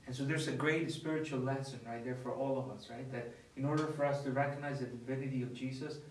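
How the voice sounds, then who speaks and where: A man's voice: medium pitch, quick pace, a little hiss or hum. A young man, a priest, talks casually about scripture, restarting his sentences and telling stories with animation. He sits at a dining table, picked up by a camera from a few feet away. The room adds an echo. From not from the US. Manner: animated storyteller, many restarts and fillers. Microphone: far camera. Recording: echoey room from far off.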